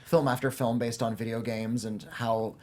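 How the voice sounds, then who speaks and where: A man's voice: medium pitch, flat delivery, moderate pace, a little mellow. A man chatting casually on a film review panel, wry and critical in his opinions.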